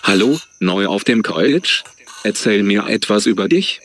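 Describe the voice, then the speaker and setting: A man's voice: on the low side, animated, unhurried, background noise. A man asking friendly questions in a scripted practice dialogue.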